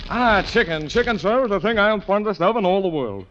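Casting: courtly, unctuous con man for vintage radio play, highish voice, shamelessly genial, lo-fi sound. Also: animated, brisk, over loud music. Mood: courtly, greedy. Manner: florid, unctuous, mock-courtly politeness. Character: genial, silver-tongued, shameless old-time male card swindler. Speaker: radio actor. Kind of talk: 1940s radio comedy-drama acting. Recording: dull lo-fi vintage radio recording.

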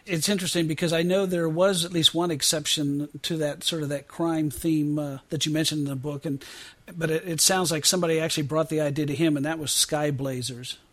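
Higher-voiced man hosting an interview. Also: steady tone, fairly quick. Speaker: podcast interviewer, host. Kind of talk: conversational interview questioning.

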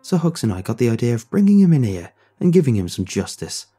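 A man's voice: mid-range voice, sing-song, quick pace, dark tone, husky. A man voicing a cruel teenage delinquent who taunts with gleeful menace. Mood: taunting.

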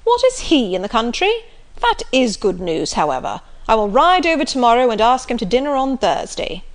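A woman voicing a hearty, blustering middle-aged country squire who talks loudly and jovially. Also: on the high side, big pitch swings, moderate pace. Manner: loud, jovial, emphatic.

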